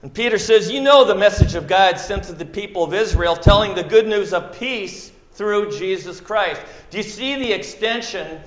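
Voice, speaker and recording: Male preacher, Minnesota accent, fairly high voice, dramatic delivery, echoey muffled room. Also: moderate pace, few pauses. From central Minnesota. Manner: dramatic, swings from loud to hushed, acts out quotes. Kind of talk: sermon.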